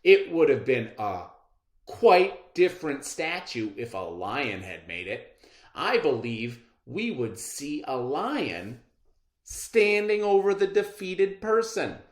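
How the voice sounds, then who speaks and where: A proud, boastful lion from a children's fable, acted out by a man reading aloud. He speaks emphatically, with wildly varied pitch and unhurried pacing. The recording has a noticeable room echo. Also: very animated. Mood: defiant. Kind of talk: children's storybook read-aloud.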